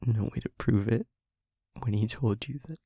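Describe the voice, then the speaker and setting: A man playing a guilt-ridden demon lover in an audio roleplay, confessing tenderly and in anguish in a halting, breathy, husky hush. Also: mid-range voice, dry recording, dull audio. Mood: anguished, confessional. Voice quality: breathy, husky, often whispering. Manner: halting, hushed, dramatic pauses. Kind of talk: intimate audio roleplay monologue.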